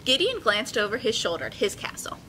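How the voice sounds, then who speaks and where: A young woman hosting a children's storytime, speaking in an expressive, encouraging way as she reads and instructs. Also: brisk. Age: young adult. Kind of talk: picture-book reading and friendly instruction for children. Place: indoor children's room with carpeted steps. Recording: clear room noise, low rumble.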